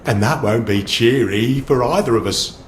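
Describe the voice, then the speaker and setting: A man with a British accent playing a pompous, formal police constable with quiet menace in a video game. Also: mid-range voice, natural voice. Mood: menacing. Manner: pompous, measured, veiled threats. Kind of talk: video game character acting. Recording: roomy echo with background noise.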